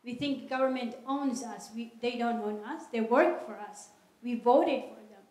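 A woman answering audience questions at a lecture in an earnest, measured way, speaking into a handheld microphone in a large hall. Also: a little high, darkish tone. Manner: earnest, measured, non-native phrasing. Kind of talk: public Q&A talk. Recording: echoey hall.

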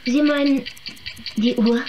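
A woman's voice: sits high, steady tone, takes it slowly, noisy background. An actress playing a meek but sly servant woman in a radio play, hesitant and deferential in her circling phrases. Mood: meek, wheedling.

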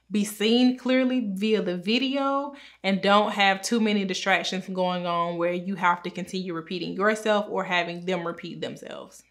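Upbeat bright-voiced woman giving advice. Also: mid-range voice. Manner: upbeat, clear, instructive, talks with her hands. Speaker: pharmacist giving career advice. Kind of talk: direct-to-camera advice talk. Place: home room indoors, small. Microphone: camera framed at chest height.

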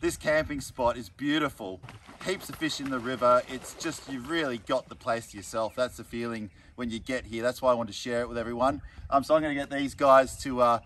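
A man's voice: mid-range voice, steady tone, fairly quick, fairly bright tone. A man in his thirties with an Australian accent, hosting an upbeat outdoor vlog in a chatty, enthusiastic way. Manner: chatty, enthusiastic, uses "mate". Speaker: vlog host. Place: outdoors at a riverside bush camp. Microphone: camera mic from a few metres away. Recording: distant mic, faint outdoor noise.

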